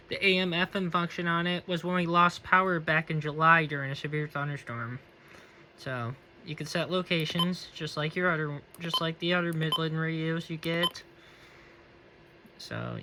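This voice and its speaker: Man, fairly high pitch, sharp bright voice, casual reviewer, unscripted walkthrough. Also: fairly even intonation, normal speed, low-quality recording. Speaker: product reviewer. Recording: faint background noise.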